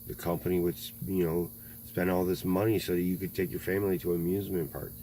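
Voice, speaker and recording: A man in his thirties in a rambling political monologue, halting, full of fillers and frequent swearing. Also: low-pitched, flat delivery, dark tone, rough recording. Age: 30s. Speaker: video blogger. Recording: faint mains hum.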